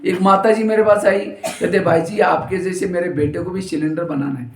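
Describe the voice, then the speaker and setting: A man's voice: high-pitched, fairly even intonation, normal speed. A man giving a spiritual discourse, telling funny stories and acting out what people said. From India. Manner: storytelling with jokes and acted quotes. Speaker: spiritual teacher. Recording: roomy hall echo.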